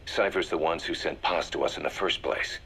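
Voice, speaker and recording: A man playing a grave, calculating intelligence briefer for mercenaries, measured and serious, in a video game audio drama. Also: low-pitched, a bit bright, slight background noise.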